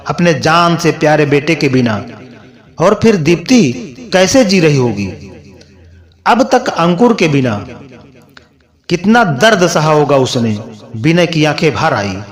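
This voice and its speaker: A man reads a sentimental moral tale as a scripted narration. His voice sits a little high for a male and moves with very animated intonation. He takes it very slowly, in a measured read-aloud manner. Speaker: storyteller, narrator.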